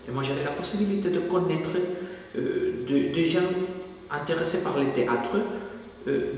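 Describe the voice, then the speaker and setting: A young man studying abroad answers questions in a documentary interview. He talks hesitantly and often stops to search for words. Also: on the high side. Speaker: international master's student. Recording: echoey hall, mic far away.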